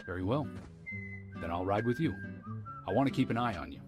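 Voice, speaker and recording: A man playing a stern, proud small-town lawman in a video game, gruff and commanding, distrustful of outsiders. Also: lowish voice, expressive, brisk, over music, close to an everyday voice. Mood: annoyed, grudging.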